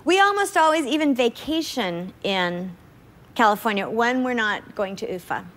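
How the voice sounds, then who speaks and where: A woman's voice: fairly high pitch, lively intonation, moderate pace, a bit bright. A woman in her fifties from Oklahoma, a talk show guest, joking and laughing often in playful late-night banter. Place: TV talk show studio with audience. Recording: studio audience and noise behind.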